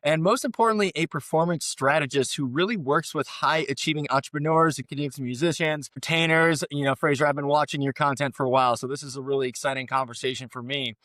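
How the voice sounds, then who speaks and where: A man's voice: a little high, quick pace, hardly any pauses, a bit bright, dry recording. A man hosting a podcast, giving an enthusiastic, effusive introduction of his guest. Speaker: podcast host. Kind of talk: podcast interview.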